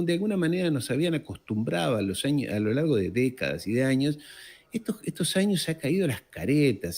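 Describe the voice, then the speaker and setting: A man's voice: mid-range voice, darkish tone. A middle-aged man from Uruguay and France giving conversational political commentary as an interview guest, stressing words emphatically and repeating them. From born in Uruguay, raised in France.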